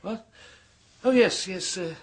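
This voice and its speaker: An actor playing a polite, nervous, evasive gentleman visiting two sisters in a radio play. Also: high-pitched, takes it slowly, heavily acted. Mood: guarded. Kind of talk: 1970s radio drama acting.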